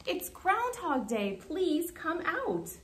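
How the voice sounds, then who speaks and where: A woman voicing eager townspeople calling out in a drawn-out singsong for a children's storybook. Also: very high voice, very animated, pitched above the performer's own voice, exaggerated voice. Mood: eager, coaxing.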